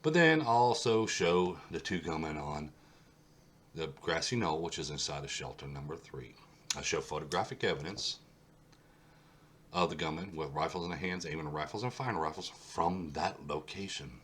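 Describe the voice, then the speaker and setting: A man with an extremely low voice, stuffed up and congested, hosts his own history channel. He walks through a map and keeps hammering home his points by repeating them. A faint hum sits under the recording. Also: lively intonation, normal speed, fairly bright tone. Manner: insistent, repeats points for emphasis. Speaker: host of a history mystery channel. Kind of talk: solo video talk over a map.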